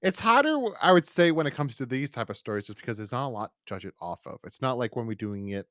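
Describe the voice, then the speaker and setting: Animated male podcast co-host, dry close sound. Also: mid-range voice, quick pace, dry recording. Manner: enthusiastic, rambling. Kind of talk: casual review chat.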